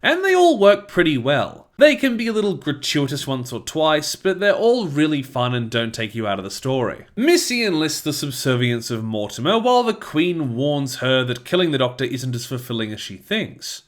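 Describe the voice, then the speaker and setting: A man's voice: a little high, big pitch swings, moderate pace, few pauses. A man reading a scripted review as narration, recapping and giving his opinions.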